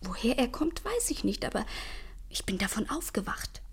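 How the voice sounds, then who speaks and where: Uneasy, very breathy young sister. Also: female voice, on the high side, big pitch swings, moderate pace, in a whisper, heavily acted. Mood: hushed, uneasy. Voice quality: breathy, hushed. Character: uneasy, affectionate thirty-year-old twin sister, doomed and frightened. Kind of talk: German radio play acting.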